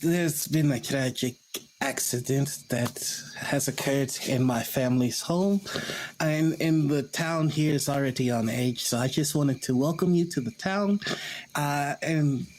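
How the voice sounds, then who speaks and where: A man's voice: medium pitch, unhurried, darkish tone, a little hiss or hum, natural voice, low-quality recording. A man playing a polite, evasive nanny in a tabletop game, speaking courteously with some hesitation. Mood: polite, cautioning. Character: polite, calmly evasive nanny named Tabitha.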